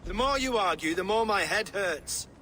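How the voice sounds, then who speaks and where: A man's voice: high voice, lively intonation, normal speed, bright, forceful tone, slight background noise. An actor playing an irritable, haughty Viking-age king, imperious and curt, in a dramatic video game. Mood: irritated.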